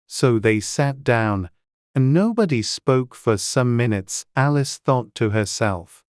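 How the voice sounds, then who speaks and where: A man reading a scripted storybook narration in a measured way as an audiobook narrator. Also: medium pitch, expressive, a little mellow, dry recording, studio quality.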